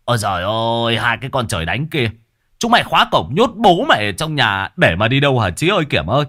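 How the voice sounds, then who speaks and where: A man's voice: medium pitch, big pitch swings, quick pace, sharp tone. A man voicing a crazed, foul-mouthed young man who rants and curses. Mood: ranting. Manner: ranting, cursing.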